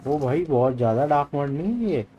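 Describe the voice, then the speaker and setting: A man's voice: medium pitch, racing, background noise. A man with an Indian accent live-streaming a game, switching between English and Hindi as he reads story dialogue aloud and comments.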